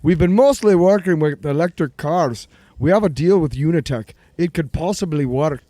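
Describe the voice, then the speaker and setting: Man playing an eager, high-voiced tech chief. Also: normal speed, warm tone, no room sound, close to an everyday voice. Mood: explanatory, cautious. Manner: precise, proud, explanatory. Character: eager, deferential male research and development chief. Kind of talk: audio drama acting.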